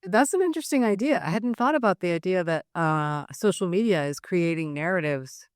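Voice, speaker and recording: A woman author on a panel who speaks in a loud, forthright voice while thinking through an idea. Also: lowish voice, expressive, a little fast, dry recording, clean studio sound. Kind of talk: panel discussion answer. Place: library event space.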